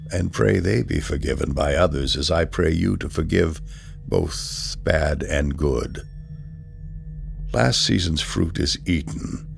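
A man giving a solemn poetry reading in a measured, deliberate manner, pausing between phrases. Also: deep voice, gravelly. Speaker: poetry reader.

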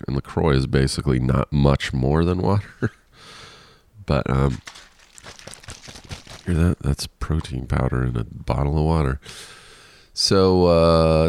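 A man's voice: a bass voice, gravelly, a little mellow, no room sound. A man hosting a casual solo podcast, rambling and self-deprecating with frequent laughs, sounding congested and nasal. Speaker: podcast host.

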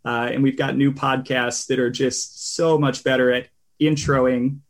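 A man hosting a podcast in relaxed, rambling casual chat, with a tenor voice and many fillers. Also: medium pitch, unhurried. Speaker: podcast host.

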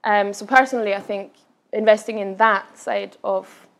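A young woman from Austria, whose first language is Austrian German, with an Austrian accent with Scottish touches. She answers audience questions at a live event in a fast, chatty way with many fillers and restarts. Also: medium pitch, steady tone, a bit bright. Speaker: guest speaker.

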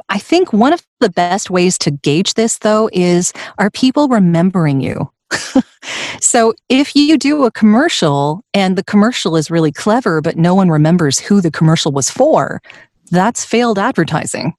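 A woman with a smooth, slightly low voice talks as an interview guest about her voiceover work. She laughs easily and pokes fun at herself. The recording is dry and close, with no room sound. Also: darkish tone, no room sound. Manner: laughs often, light and self-deprecating. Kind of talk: conversational interview.

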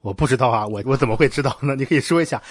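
A man's voice: mid-range voice, very fast, slightly rough, no echo. Man hosting a podcast, dry close sound. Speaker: podcast host, interviewer. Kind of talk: casual conversational interviewing.

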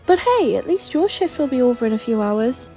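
A woman's voice: high voice, sing-song, fairly quick, warm tone, faint music behind, voice pushed up. A woman voicing a blunt, teasing, bossy female co-worker in an audiobook story, speaking frankly and emphatically. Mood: wry.

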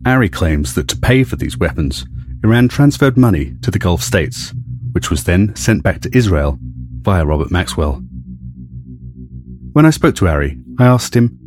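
A man hosting and narrating an investigative podcast in a measured, serious tone. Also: deep voice, very animated. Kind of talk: scripted documentary narration. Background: soft music.

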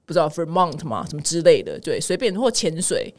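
A woman's voice: low voice, sing-song, fairly quick, a little mellow. A woman with a Taiwanese Mandarin accent hosting a casual news podcast, exclamatory and dramatic, wandering into personal asides and mixing in English words. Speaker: podcast host.

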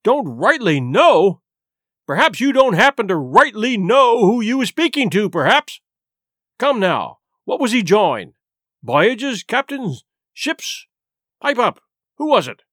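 A man's voice: sits high, wildly swooping pitch, slow, a bit bright, no echo, studio quality, pitched above the performer's own voice, full character voice. Man performing a hearty, blustering old-salt tavern landlord. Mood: stern, scolding. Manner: hearty, blustering, salty seafaring talk. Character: genial, loud, shrewd one-legged sailor turned tavern landlord. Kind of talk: audiobook character voice.

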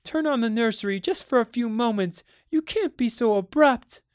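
A man's voice: sits high, animated, a little slow, a little mellow, dry recording, clean studio sound, voice pushed up. A man voicing an anxious, pleading wife and mother in a story reading, imploring and emotional. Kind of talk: dramatic storybook narration.

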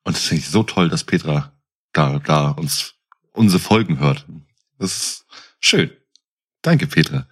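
A man's voice: rumbling low, a little slow, pauses often, slightly rough. A middle-aged man talking casually as a podcast co-host.

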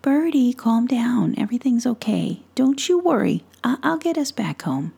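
A woman's voice: a little high, lively intonation, moderate pace, gravelly, dark tone, slightly rough, full character voice. A woman voicing a polite young boy who speaks hesitantly, apologizing with stammers. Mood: soothing. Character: polite, nervous young boy lost in a snowy forest.

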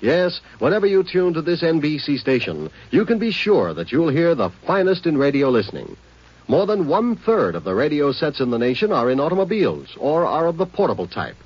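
A muffled vintage radio broadcast of a male announcer. His voice sits fairly high and slightly husky, moving in lively, polished swoops through a formal scripted promotion. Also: a little fast.

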